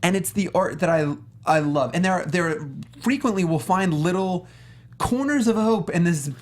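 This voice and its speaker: A panelist in a casual talk show discussion, with a voice of medium pitch. The delivery is earnest and emphatic, running on at length as thoughts build up. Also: normal speed, darkish tone. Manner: earnest, emphatic, long-winded. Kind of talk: casual film and game discussion.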